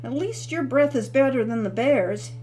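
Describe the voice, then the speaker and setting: Lady voicing a shy polite teen boy, kinda nervous, soft voice, little bit of hiss. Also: high voice, lively intonation, normal speed. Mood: cautious. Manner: quiet, cautious, polite. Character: polite anxious teenage boy.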